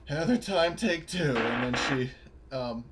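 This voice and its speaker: A man's voice: medium pitch, expressive, takes it slowly, loud music behind the voice. A man playing a tabletop game, chatting casually and often cutting in to work out the rules.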